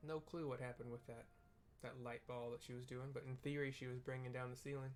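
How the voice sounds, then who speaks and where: A man's voice: mid-range voice, little pitch movement, quick pace, slight background noise. A young man casually narrating a game cutscene in a rambling way, full of "like" and "kinda.". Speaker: let's play narrator.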